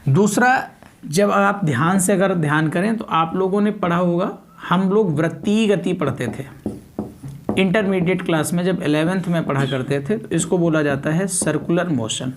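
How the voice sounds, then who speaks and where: A man's voice: high voice, moderate pace, slight background noise. A man lecturing as a physics teacher, repeating key terms, asking rhetorical questions and mixing in English technical words. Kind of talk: exam coaching lecture with everyday examples.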